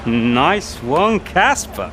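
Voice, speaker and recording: A man's voice: a little high, big pitch swings, drawn-out words, background noise, exaggerated voice. An actor playing a chummy, insinuating male racing rival in a game cutscene, matey and needling. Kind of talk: video game cutscene acting.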